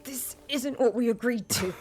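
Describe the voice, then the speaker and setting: A woman voicing a suspicious, defiant young captive heroine in a game drama, shifting between indignant protests and breathy asides. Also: a little high, moderate pace, darkish tone, soft background music, pitched above the performer's own voice, natural voice.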